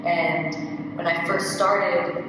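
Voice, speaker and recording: Chatty low-voiced young woman, echoey room. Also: extremely slow. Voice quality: low speaking voice. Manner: chatty and animated, many fillers and restarts. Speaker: guest singer and songwriter. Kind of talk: casual panel conversation. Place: art gallery event space. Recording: echoey gallery room, distant mic, audible noise.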